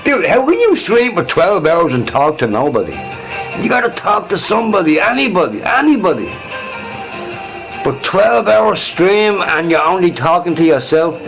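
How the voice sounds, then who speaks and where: A man's voice: sits high, big pitch swings, normal speed, vocal fry, a bit bright, faint music behind, low-quality recording. A man streaming on his own, chatting casually in a rambling, profane way and laughing often. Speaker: livestreamer. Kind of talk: rambling solo stream chat.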